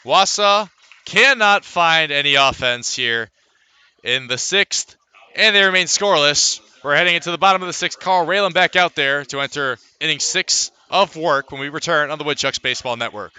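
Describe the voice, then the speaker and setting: A man's voice: a little high, normal speed, sharp tone, clean tone. A man calling live baseball play-by-play for radio, in clipped phrases with dramatic calls.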